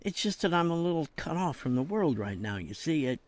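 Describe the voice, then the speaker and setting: An actor playing a shrewd older politician behind bars in a game cutscene, with an American accent and a wry, coaxing, avuncular manner. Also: male voice, a little high, very animated, fairly quick. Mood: persuasive, conspiratorial. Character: shrewd, smooth-talking jailed politician, older man, persuasive. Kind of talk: dramatic game cutscene acting.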